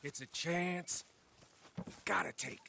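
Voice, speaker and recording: Sharp, sneering outlaw gunman. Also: high voice, takes it slowly, gravelly, a little hiss or hum, full character voice. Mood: goading. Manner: sardonic, needling, drawling. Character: sly, goading, insolent outlaw gunman. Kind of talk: dramatic video game acting.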